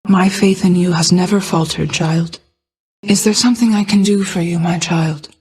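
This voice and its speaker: Stately, solemn goddess of night. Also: female voice, lowish voice, steady tone, darkish tone, husky. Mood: gentle, solemn. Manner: formal, measured, stately, archaic phrasing. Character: regal, ageless goddess of night, motherly and solemn. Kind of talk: scripted video game voice acting.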